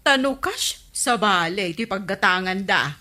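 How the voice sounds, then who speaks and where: A woman's voice: mid-range voice, expressive, measured pace, bright, forceful tone. A woman with an Ilocano accent playing a hot-tempered, aggrieved village creditor in a radio comedy drama, indignant and whining. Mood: complaining.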